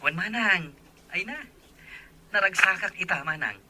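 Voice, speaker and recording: A man acting a sincere young working man in love in a radio drama, soft-hearted and earnest. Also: sits high, moderate pace, full character voice. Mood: happy.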